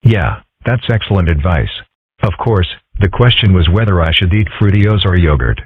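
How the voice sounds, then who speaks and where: A man's voice: sits low, expressive, normal speed, breathy edge, no echo. A man voicing a geeky, laid-back young fox boy in a comic dub, dry and matter-of-fact. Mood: dry, puzzled. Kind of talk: amateur comic strip dub. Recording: muffled, phone-like lo-fi audio.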